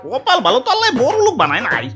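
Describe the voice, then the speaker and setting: A man's voice: extremely high pitch, huge pitch swings, racing, music in the background, full character voice. A man voicing a wry, good-natured poor city man in a comic cartoon, chattering and grumbling in a Bangladeshi accent.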